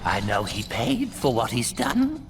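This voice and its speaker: A man's voice: mid-range voice, huge pitch swings, unhurried. An actor playing a mad old male prisoner who keeps a record, whispering and giggling in a sing-song way over orchestral music. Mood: unhinged. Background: orchestral game music.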